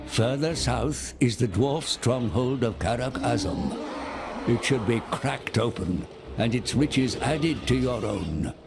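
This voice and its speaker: An actor playing a servile, scheming advisor, giving a solemn, coaxing briefing in a game. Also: male voice, mid-range voice, noisy background. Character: servile, scheming male advisor to an ogre lord.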